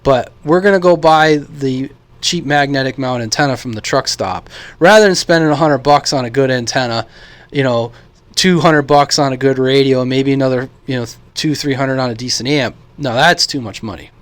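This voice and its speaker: A man's voice: mid-range voice, moderate pace, no echo. An American man giving a casual, opinionated vlog monologue in a blunt, informal, rambling way, as a radio hobbyist. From United States.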